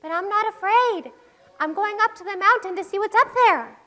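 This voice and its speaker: Extremely high bright voice, stubborn sassy little girl acted by a woman, puppet show, long hall reverb. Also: normal speed. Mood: defiant. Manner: sassy, defiant. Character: bold, cheeky, stubborn little girl adventurer. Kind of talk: children's puppet show acting.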